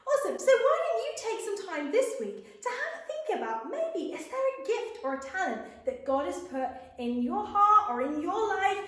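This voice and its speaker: Female children's show host, high-pitched and playfully inquisitive, swooping intonation, heavily reverberant room. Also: big pitch swings, normal speed, hardly any pauses, fairly bright tone. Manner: enthusiastic, playfully questioning. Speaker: host of a children's faith programme. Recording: very echoey room.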